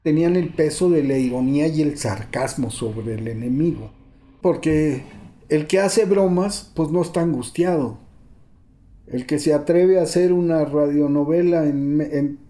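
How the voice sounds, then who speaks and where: A man giving a reflective interview comment in a measured way, repeating phrases for emphasis. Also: fairly high pitch, warm tone.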